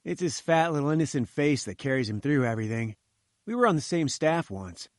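A man's voice: mid-range voice, sing-song, a little fast, no echo, natural voice. Man voicing breezy young journalist, dry close sound. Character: brisk young male journalist.

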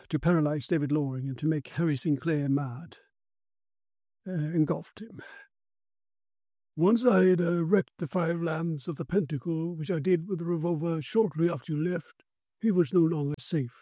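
Occult investigator, male, acted by a man narrator. Raised pitch, authoritative, grave, emphatic storybook delivery with hesitant pauses, dry close sound. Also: mellow, dry recording, natural voice. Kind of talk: dramatised audiobook character.

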